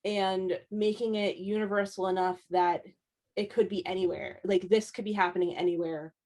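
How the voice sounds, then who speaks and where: A woman's voice: mid-range voice, fairly even intonation, normal speed, darkish tone. A woman artist from the American East Coast talking casually on a panel, chatty and full of fillers. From United States East Coast. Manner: chatty, heavy use of "like" and "um". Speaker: comic artist.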